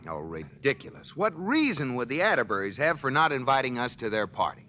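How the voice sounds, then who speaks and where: Animated teasing husband, old radio. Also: male voice, medium pitch, brisk. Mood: incredulous, amused. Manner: teasing, excitable, stammering in surprise. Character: teasing, jovial banker husband in a radio comedy. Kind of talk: 1940s radio sitcom acting. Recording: noisy vintage 1940s radio recording.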